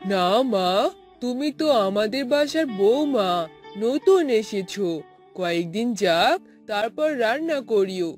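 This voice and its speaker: A woman voicing a scheming, greedy older mother-in-law in a cartoon, with a drawn-out, theatrical delivery. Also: medium pitch, animated, darkish tone, faint music behind, natural voice. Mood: coaxing. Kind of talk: Bengali cartoon voice acting.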